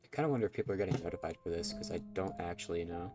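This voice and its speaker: A man's voice: low-pitched, very fast, over music. A man with a nasal, stuffy voice, rambling and self-conscious as he chats through a live game stream. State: stuffy nose from allergies.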